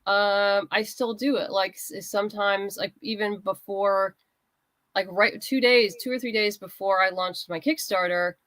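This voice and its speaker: A woman's voice: mid-range voice, fairly even intonation, unhurried, fairly bright tone, no echo. A woman writer chatting as an interview guest, candid and conversational, explaining things in loose fragments. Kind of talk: casual interview chat.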